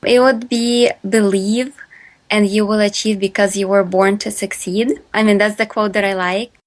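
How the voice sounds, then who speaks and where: Ukrainian-accented woman, halting podcast guest. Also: mid-range voice, fairly even intonation. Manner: hesitant, many fillers and restarts. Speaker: guest entrepreneur. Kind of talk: podcast interview answers.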